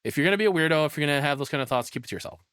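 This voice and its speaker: A man's voice: fairly high pitch, animated, rapid-fire, fairly bright tone, clear, resonant tone, no echo, clean studio sound. A man giving casual live reaction commentary as a streamer, blunt and profane, rambling through his opinions as he thinks aloud.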